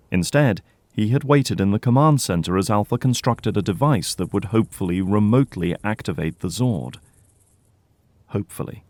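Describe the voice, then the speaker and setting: A man delivering dramatic scripted narration for an audio drama in a deliberate storytelling way. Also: lowish voice, darkish tone, no echo. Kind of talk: dramatic scripted narration in present and past tense.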